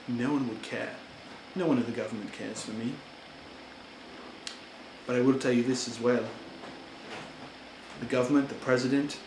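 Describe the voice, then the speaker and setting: An earnest, indignant German-born immigrant makes his plea, as a man acts him out, with an ordinary mid-pitched voice and no accent. The room rings with echo, and steady noise sits behind him. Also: natural voice. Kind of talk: amateur historical role-play monologue. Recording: echoey room with background noise.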